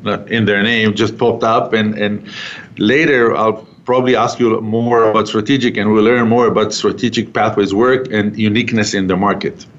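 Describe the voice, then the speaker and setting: Man, talk show host, foreign accent, lowish voice, chatty and halting, muffled lo-fi sound. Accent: non-native English accent. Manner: chatty, halting, many fillers and restarts, laughs often. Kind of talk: interview hosting. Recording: dull lo-fi sound.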